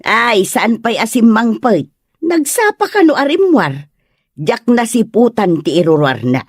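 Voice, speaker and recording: A woman's voice: on the high side, very animated, unhurried, husky, no echo, clean studio sound, heavily acted. A woman playing a stern, suspicious middle-aged mother, probing and disapproving, in a comedy radio drama. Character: stern, suspicious mother questioning her daughter's friend.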